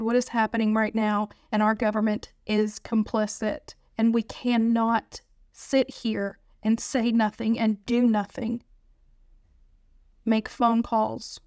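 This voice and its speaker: An American woman makes an urgent appeal, repeating her call to action. Her voice is slightly high and flat in tone, recorded dry and close. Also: no echo. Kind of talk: impassioned appeal.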